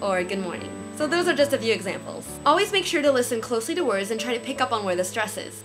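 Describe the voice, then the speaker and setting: A young woman giving a beginner language lesson from her bedroom, careful and clear as she demonstrates sounds on a webcam. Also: fairly high pitch. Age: young adult. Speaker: language teacher. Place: small bedroom, indoors. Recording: music under the voice.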